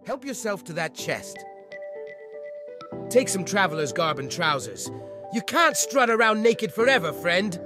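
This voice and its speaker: A man's voice: sits high, sing-song, unhurried, long pauses. A man playing a sly bandit boss in a comic dub, menacing and theatrical with grudging kindness. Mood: gruff generosity. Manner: taunting, theatrical. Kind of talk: manga comic dub acting. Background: music under the dialogue.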